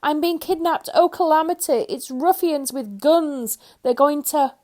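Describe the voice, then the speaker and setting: A woman's voice: sits high, unhurried, a little mellow, voice pushed up. A woman voicing a nervous, jumpy little man who shrieks and stammers in melodramatic panic in a storybook reading. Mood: panicky.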